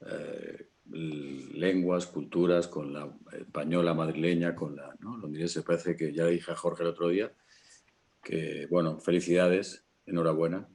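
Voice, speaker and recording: A man's voice: sits low, fairly even intonation, normal speed, darkish tone. A man with a Castilian Spanish accent in a casual panel talk, hesitant and searching for words. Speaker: panel guest.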